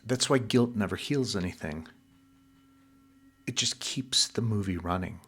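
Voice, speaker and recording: Slow, low-voiced man guiding sleep hypnosis. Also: fairly bright tone, breathy edge. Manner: soothing, deliberate, measured phrasing. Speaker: hypnosis guide. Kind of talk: guided sleep hypnosis narration.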